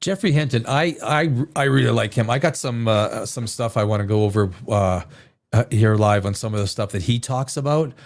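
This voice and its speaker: A man's voice: mid-range voice, moderate pace. A man hosting a live reaction show, rambling and restarting his sentences, with a sniffly head cold. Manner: rambling, repeats words and restarts often.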